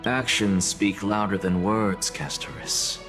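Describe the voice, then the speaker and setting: A man's voice: fairly low pitch, steady tone, slow pace. An actor voicing an arrogant, self-sacrificing male scholar in a video game, theatrical, self-assured and dismissive. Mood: haughty, assured. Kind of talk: dramatic video game voice acting. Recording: long reverb, music underneath.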